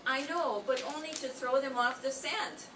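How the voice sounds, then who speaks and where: A woman's voice: high-pitched, normal speed, fairly bright tone. An older woman playing a detective's adoring secretary in an old radio drama, gushing and theatrical. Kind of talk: 1940s radio detective acting. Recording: roomy distant mic, clear background noise.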